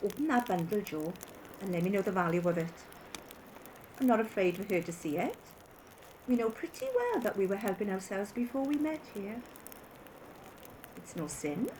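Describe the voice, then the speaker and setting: A woman's voice: mid-range voice, sing-song, moderate pace, pauses often, darkish tone, a little hiss or hum, natural voice, poor audio. An actress playing a brazen, callous scavenging woman in an audio drama. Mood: brazen, scornful.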